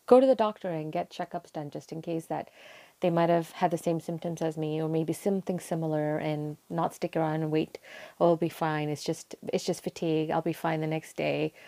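Interview guest: young woman, low gravelly voice, soft and meandering. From Sri Lanka. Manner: soft-spoken, frequent ums, meandering. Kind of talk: casual personal storytelling.

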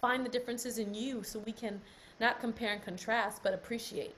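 A woman's voice: on the high side, fairly even intonation, fairly quick, a bit bright, slight background noise, rough recording. A woman speaking earnestly on a group call, repeating phrases for emphasis. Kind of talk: heartfelt closing remarks on a call.

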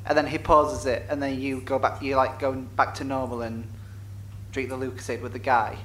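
A fan asking chatty questions, giggling and tossing in quick asides. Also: mid-range voice, flat delivery, a bit bright. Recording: echoey hall, room noise.

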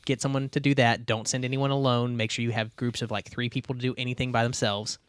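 A man's voice: medium pitch, flat delivery, quick pace, clean tone, no room sound, studio quality. A man chatting casually as a player in a tabletop role-playing game.

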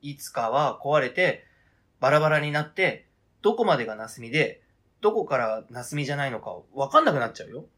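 A man acting a hesitant, flustered partner in an amateur radio drama, protesting in a halting, conversational way. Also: medium pitch, very animated, bright, forceful tone. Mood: cautious persuading.

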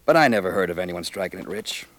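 Friendly american small town newspaperman, folksy, lowish voice, old western radio show. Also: animated, fast, no echo, low-quality recording. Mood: easygoing, affable. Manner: folksy, easygoing, neighbourly. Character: affable, contented small-town newspaper editor, married man. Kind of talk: 1950s Western radio acting. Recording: vintage 1950s radio recording.

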